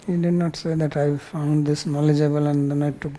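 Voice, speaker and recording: A man's voice: a little high, flat delivery, a little fast, warm tone. An older man with an Indian accent answers students as a spiritual teacher, bluntly and often turning questions back on them.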